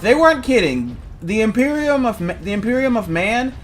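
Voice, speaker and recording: A man's voice: high-pitched, sing-song, normal speed, slight background noise. A man from Louisiana, giving casual, rambling let's play commentary. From Louisiana, United States. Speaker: gaming video host. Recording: game music clearly behind. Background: game music.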